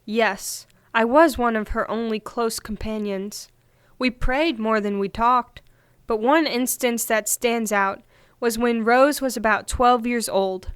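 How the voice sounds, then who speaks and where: A woman's voice: fairly high pitch, slow, clear, resonant tone, no room sound. A woman playing a gracious, devout lady in a religious audio drama. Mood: reverent, fond. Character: gracious, devout woman, fond of remembering her friend.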